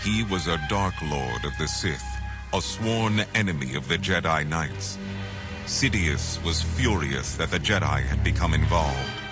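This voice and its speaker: Male storybook narrator: deep, authoritative, dramatic scripted read over a musical score. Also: lively intonation, music in the background. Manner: solemn, deliberate, authoritative.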